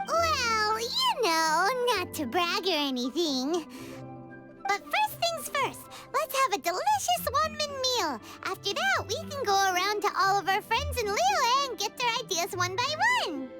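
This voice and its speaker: A woman voicing a tiny, cheerful, food-loving fairy companion in a video game, with a squeaky, childlike voice and perky manner. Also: sing-song, a little slow, over loud music, heavily acted. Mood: cheerful, eager. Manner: perky, refers to self in third person.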